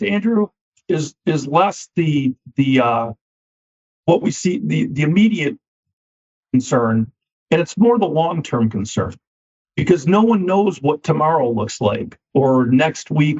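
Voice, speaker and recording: A man's voice: fairly high pitch, animated, a little mellow, breathy edge. Man with a scratchy voice, talking business. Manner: measured, repeats words when starting sentences. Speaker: equipment finance CEO, guest. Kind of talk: business podcast interview. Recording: dull lo-fi sound.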